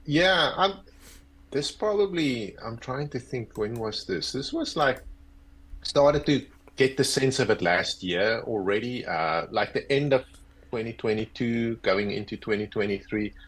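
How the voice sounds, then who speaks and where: A man's voice: mid-range voice, animated, measured pace, a bit bright, breathy edge. A South African man in his fifties, a guest talking about his career in an easygoing, self-deprecating, rambling way over earbuds on a video call. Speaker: guest, agile coach. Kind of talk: casual interview chat.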